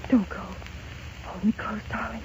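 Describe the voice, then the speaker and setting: A woman's voice: a little high, level tone, normal speed, darkish tone, a little hiss or hum, lightly acted. An actress playing a yearning, resolute married woman in a doomed romance, breathless and melodramatic in 1930s radio drama style. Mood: tender, resigned. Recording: muffled 1930s radio broadcast.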